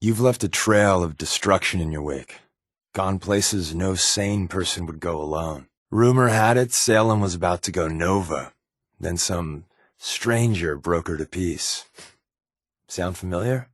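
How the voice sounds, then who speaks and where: An actor playing a wisecracking, sardonic spy companion in a video game, with casual scripted dialogue. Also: male voice, low voice, a little slow, dry recording, studio quality. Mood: impressed, probing. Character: wry, joking male spy companion with dry swagger.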